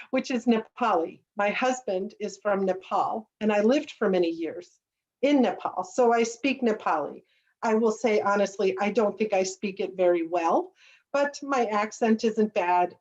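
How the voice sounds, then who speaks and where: An American woman giving a friendly, measured talk to students over a webinar. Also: mid-range voice, a little mellow. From United States. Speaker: guest speaker. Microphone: video call. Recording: distant, dull lo-fi webinar audio.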